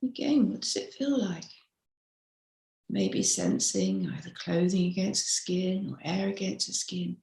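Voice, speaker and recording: A woman mindfulness teacher leading a slow guided meditation in a gentle, unhurried, instructive way. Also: on the low side, vocal fry. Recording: roomy, echoey sound.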